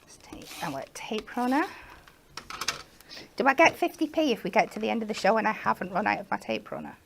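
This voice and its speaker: A woman with a croaky voice, chatting and joking as she demonstrates card making on a craft shopping show. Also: medium pitch, big pitch swings, fairly quick, slight background noise. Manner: chatty, playful asides while working. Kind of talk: live shopping channel craft demo.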